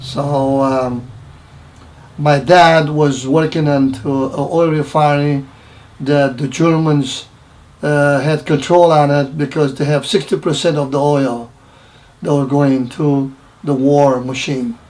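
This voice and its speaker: Older Romanian man with halting English. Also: on the high side, steady tone, darkish tone, rough recording. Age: 70s. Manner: halting, repeats phrases, broken English grammar. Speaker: interviewee. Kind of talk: personal wartime recollection interview.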